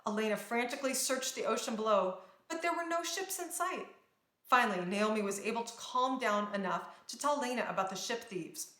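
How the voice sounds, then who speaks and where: A woman reading a children's storybook aloud in a dramatic, expressive storytelling manner. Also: fairly high pitch, brisk. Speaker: storybook reader. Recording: roomy, echoing sound.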